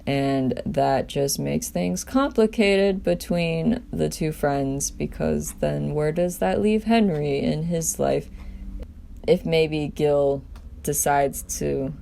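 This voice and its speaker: A woman's voice: low-pitched, slow, vocal fry, warm tone, a little hiss or hum. A woman casually presenting her own writing, hesitant and self-deprecating. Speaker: writer presenting own scenes. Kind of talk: casual chat and script reading.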